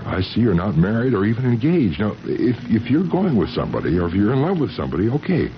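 A man acting in a 1970s radio drama as a shy, earnest, lovestruck engineer, speaking haltingly and eagerly. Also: lowish voice, sing-song, slight background noise. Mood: eager pleading. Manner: halting, eager, repeating himself. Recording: dull vintage radio recording with music.

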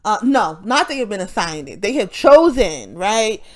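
A woman's voice: mid-range voice, very animated, moderate pace. A woman talking straight to her viewers in a loose, rambling vlog. Her voice is nasal and stuffed up from congestion, and her speech is peppered with profanity and short bursts of laughter. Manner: profane, rambling, frequent self-interrupting laughs. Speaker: vlogger. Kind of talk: casual rambling monologue.

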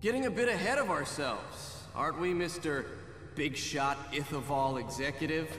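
Man playing a bright-voiced, cocky young journalist. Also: a little high, moderate pace, slight background noise, natural voice. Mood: sarcastic, taunting. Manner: sardonic, taunting, brash. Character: cocky sarcastic young male journalist, defiant. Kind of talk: dramatic video game acting. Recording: echoey hall, long reverb, faint music.

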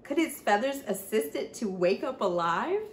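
Casting: young woman, children's storyteller, very lively intonation, unhurried sing-song delivery, roomy sound. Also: on the high side. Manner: animated, sing-song, drawn-out emphasis on words. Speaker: children's read-aloud host. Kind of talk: expressive picture-book reading for children. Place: small indoor room with bookshelf. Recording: roomy echo, faint background noise.

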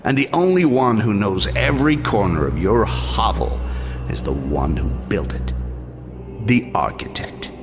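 An actor playing a cynical goblin thief in a video game, with a gravelly voice and a dry, sardonic manner. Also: male voice, fairly low pitch, animated, measured pace, over music. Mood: mocking, smug.

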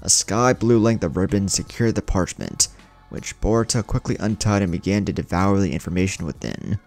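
A man's voice: sits low, moderate pace, darkish tone. A man narrating a scripted dramatic story as the storyteller. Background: music.

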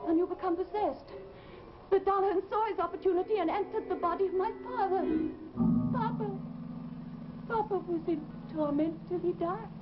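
High-voiced, tormented young woman. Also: over music. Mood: haunted, grave. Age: young adult. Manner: earnest, plaintive, halting. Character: tormented, fearful young woman who believes she is possessed. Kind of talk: 1950s live television melodrama acting. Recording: muffled, noisy old TV soundtrack.

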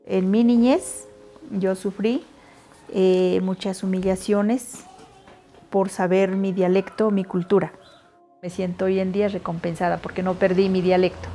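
A woman's voice: medium pitch, flat delivery, measured pace, mellow, faint music behind. A middle-aged woman from Putumayo, Colombia, a weaver earnestly explaining her craft in a documentary interview.

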